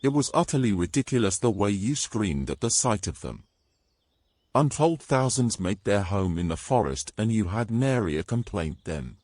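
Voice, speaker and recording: Male actor as a British fantasy knight, low voice, wry, gruff, very animated, close dry sound. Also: normal speed, no echo. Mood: teasing. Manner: wry, brash, old-fashioned turns of phrase. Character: bold, gruff, teasing male knight adventurer. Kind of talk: romantic fantasy audio drama acting.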